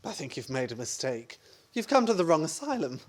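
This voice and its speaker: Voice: mid-range voice, sing-song, brisk. A performer playing a flustered, exasperated married man in a radio farce, blustering and stammering. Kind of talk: stage farce radio play acting.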